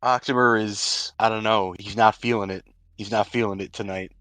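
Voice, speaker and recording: A man's voice: fairly low pitch, fairly even intonation, normal speed, a bit bright, no echo. A man running a tabletop roleplaying game, narrating the scene as game master.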